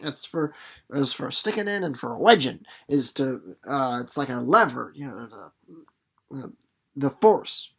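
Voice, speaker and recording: Male teacher-narrator, medium pitch, animated and folksy, moving easily between dramatic reading and playful plain-spoken explanation. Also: unhurried, creaky, breathy edge. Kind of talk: expressive storybook reading and casual explanation.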